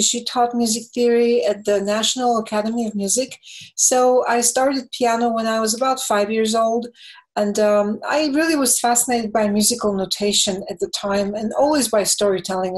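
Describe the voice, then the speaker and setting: A woman's voice: medium pitch, a little mellow, poor audio. Bulgarian-accented woman in her fifties, thoughtful interview guest on a webcam call. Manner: thoughtful, fills pauses with "you know". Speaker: film and game composer, guest. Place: home room with bookshelves. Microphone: webcam on video call.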